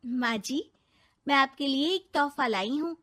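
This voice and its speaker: A woman acts out a young city bride in a cartoon moral tale. Her voice is high and her delivery slow and measured. She is polite, earnest and eager, explaining things to her elder. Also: a bit bright. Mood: helpful. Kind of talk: animated moral-story cartoon voice acting.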